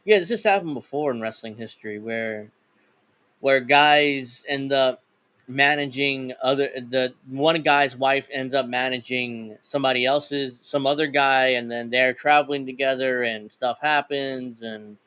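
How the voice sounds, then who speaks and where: A man's voice: mid-range voice, steady tone, measured pace, sharp tone, smooth, dry recording. Rambling man chatting. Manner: rambling, laughs often, retells stories. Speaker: podcast co-host. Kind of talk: casual chat about wrestling.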